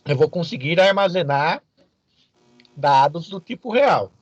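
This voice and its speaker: A man's voice: on the high side, expressive, measured pace, no echo, muffled recording. A Brazilian man giving an informal, didactic lecture as a programming teacher, often checking in with short questions to his students.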